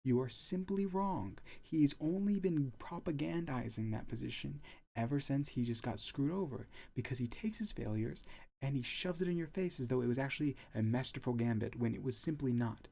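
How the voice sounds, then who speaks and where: A man's voice: mid-range voice, animated, moderate pace, creaky, warm tone. A man hosting a reaction video, commenting bluntly and with exasperation on a debate clip.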